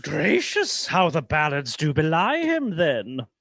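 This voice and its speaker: An actor playing a playful, courtly minstrel who feigns innocence in a radio play. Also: male voice, on the high side, huge pitch swings, slow pace, vocal fry, fairly bright tone, dry recording, studio quality, exaggerated voice. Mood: sly. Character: sly courteous male minstrel, an outlaw in disguise.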